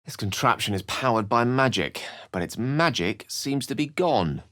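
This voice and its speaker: An actor playing an eloquent, theatrical and wry human wizard in a fantasy video game, with articulate, literary phrasing. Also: male voice, mid-range voice, animated, moderate pace, fairly bright tone, no echo, clean studio sound, lightly acted. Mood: thoughtful, eloquent.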